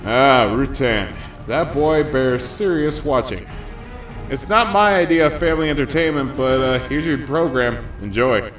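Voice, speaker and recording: A man's voice: medium pitch, expressive, a little slow, fairly bright tone, distant mic. A man playing a friendly, wry and easygoing ally in a comic dub. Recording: echoey, off-mic, music underneath.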